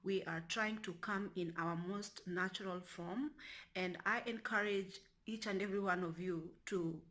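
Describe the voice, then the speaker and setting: Bright-voiced woman from Kenya chatting. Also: steady tone, measured pace. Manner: rambling, restarts often, lowers to a near whisper. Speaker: vlogger. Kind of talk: casual talking-to-camera vlog.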